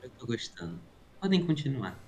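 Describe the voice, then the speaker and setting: A man playing a cheeky, money-minded mercenary hero who bargains in a brisk, flippant way in a tabletop audio drama. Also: medium pitch, very animated, faint music behind, close to an everyday voice. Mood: cheeky, bargaining.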